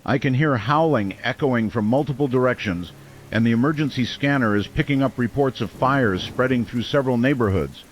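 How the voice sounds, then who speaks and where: A male actor plays a small-town radio host broadcasting through a disaster. His medium-pitched delivery is grave and urgent, like an emergency announcer, with a faint rain-like noise around it. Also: brisk, lightly acted. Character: steady small-town American male late-night radio host during werewolf siege. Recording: noisy, with rain-like hiss behind.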